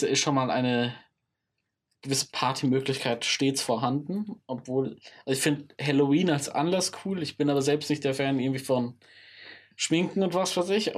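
A man in his thirties co-hosting a podcast in casual banter, joking and mixing English words into his talk. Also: medium pitch. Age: 30s. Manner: jokey, drops English words into speech. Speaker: podcast co-host.

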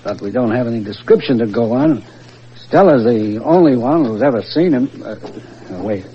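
An actor playing a skeptical, matter-of-fact police inspector in an old-time radio thriller. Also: male voice, mid-range voice, animated, moderate pace, natural voice. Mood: grim, brisk. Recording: dull, slightly noisy old radio recording.

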